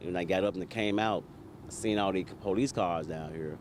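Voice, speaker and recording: Male resident, deep-ish voice, casual street interview, clear background noise. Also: moderate pace. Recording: noisy outdoor location sound.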